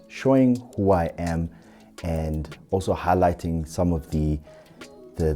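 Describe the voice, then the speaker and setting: A South African man talking through his channel mistakes in a measured, earnest, fairly monotone way, with small restarts. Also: low voice. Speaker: YouTuber. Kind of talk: reflective vlog talking to camera.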